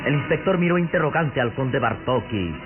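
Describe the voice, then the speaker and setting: A man's voice: medium pitch, expressive, normal speed. A man narrating a radio drama from a script in a dramatic style. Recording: tinny lo-fi radio recording, loud music.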